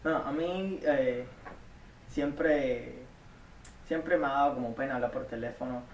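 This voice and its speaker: A young man from Cuba, a community college student giving earnest, halting interview answers full of restarts and repeated words. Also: mid-range voice, slight background noise. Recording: echoey room, mic at a distance.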